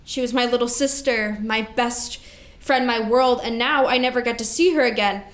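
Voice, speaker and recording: A woman's voice: fairly high pitch, normal speed, natural voice. A woman in a staged play reading, playing a devoted older sister burdened by grief and responsibility, speaking earnestly and emotionally. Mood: grieving. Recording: echoey room recording.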